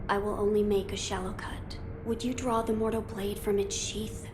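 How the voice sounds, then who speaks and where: A woman voicing an earnest, composed young noble boy in a video game, polite, formal and gently commanding. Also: mid-range voice, steady tone, normal speed, lightly acted. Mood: gentle, resolute. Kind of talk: video game cutscene acting. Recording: clear background ambience noise.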